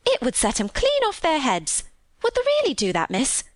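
A woman reader puts on a young Yorkshire housemaid for an audiobook. The girl is cheerful and excitable, rambling on in homely dialect. Her voice is lifted and very lively, in a dry recording. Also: sits high, normal speed, no room sound, voice pushed up. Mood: excited. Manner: eager, rambling, folksy dialect storytelling.

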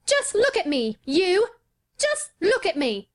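A haughty young boy, performed by a woman narrator, commanding and quick to anger. The voice is extremely high and swings animatedly, recorded close and dry, as a storybook little lord would sound. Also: wildly swooping pitch, no echo, heavily acted. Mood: defiant. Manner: commanding, indignant. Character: haughty, imperious, hot-tempered young invalid boy.

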